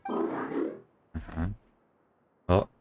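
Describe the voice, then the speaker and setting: A man's voice: extremely slow, darkish tone, soft background music. A man streaming a game, giving casual, sardonic and sweary commentary.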